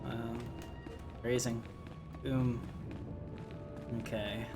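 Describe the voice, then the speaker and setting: A man's voice: mid-range voice, flat delivery, drawn-out words. A man doing casual, joking, rambling live commentary while playing a video game. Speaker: game streamer. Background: video game music.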